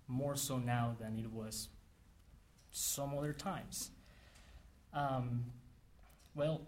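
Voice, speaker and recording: A man from Mexico, giving an academic lecture in an earnest, measured way with frequent restarts. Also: mid-range voice, a little mellow, slight background noise.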